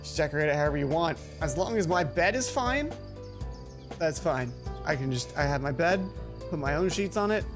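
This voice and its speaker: A woman with a lowish voice plays through a story game, reading narration aloud. She keeps interrupting herself with playful, chatty asides. Game music plays clearly behind her. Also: animated, moderate pace. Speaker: let's play streamer. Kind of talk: reading game script aloud with casual commentary. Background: video game music.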